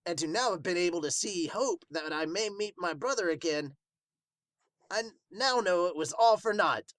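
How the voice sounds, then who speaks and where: A man's voice: sits high, animated, moderate pace, fairly bright tone, no room sound, voice pushed up. A man voicing an earnest warrior beast, a devoted big brother, in a formal, dramatic manga dub.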